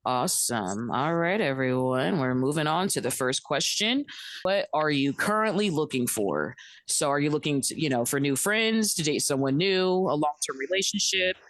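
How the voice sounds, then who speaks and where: A woman's voice: deep voice, lively intonation, no room sound. A woman hosting a dating show call, calling on guests by name and laughing between answers. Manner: brisk, calls names, laughs often.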